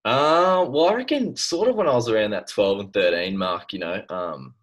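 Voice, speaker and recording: Laid-back young Australian man, low voice. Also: lively intonation, normal speed. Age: young adult. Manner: laid-back, laughs often, trails off with fillers. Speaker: guest swimmer. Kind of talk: casual chat. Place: bedroom at home. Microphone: laptop webcam on video call.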